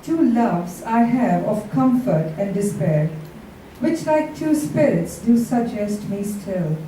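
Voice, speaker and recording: A woman reading poetry aloud with short casual introductions, in a deliberate, drawn-out delivery. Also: medium pitch, lively intonation, mellow. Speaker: poetry reader. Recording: echoey room, distant mic, faint noise.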